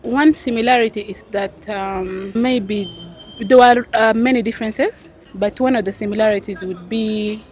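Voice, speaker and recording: A woman's voice: medium pitch, darkish tone. Thoughtful young woman. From South Sudan. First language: Arabic. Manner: thoughtful, unhurried, with pauses and fillers. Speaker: street interviewee. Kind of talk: casual interview answers.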